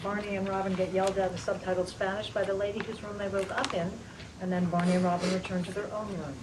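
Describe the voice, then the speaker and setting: A woman reads stage directions aloud at a table read in a plain, steady way. She sits some distance from the mic. Room noise is clearly audible around her. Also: medium pitch, fast.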